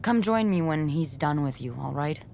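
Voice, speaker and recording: A fed-up, sarcastic character in a video game cutscene. Her delivery is dry, with a slightly low, very creaky voice. Faint ambient noise sits behind it. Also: animated, moderate pace, darkish tone. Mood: bitter, wry. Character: sardonic, fed-up woman just fired from her job.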